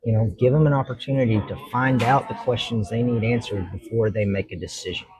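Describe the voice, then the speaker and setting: A man's voice: medium pitch, fairly even intonation, fairly quick, a little mellow, faint music behind, rough recording. A man, a judge answering interview questions in an earnest, reflective way and explaining at length. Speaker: judge, talk show guest.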